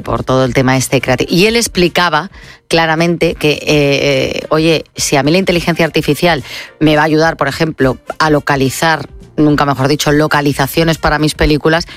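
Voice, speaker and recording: A woman with a Spanish accent from Spain, hosting a late-night radio show in a casual, conversational style, speaking straight to listeners. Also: low-pitched. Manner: conversational, addresses listeners directly. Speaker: radio host.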